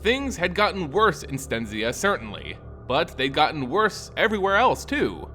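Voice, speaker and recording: A man narrates dark fantasy fiction in a slightly high voice that rises and falls widely. His delivery is solemn and theatrical, with deliberately placed phrases. Faint music runs behind him. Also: huge pitch swings. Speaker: story narrator. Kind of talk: dramatic scripted fantasy narration.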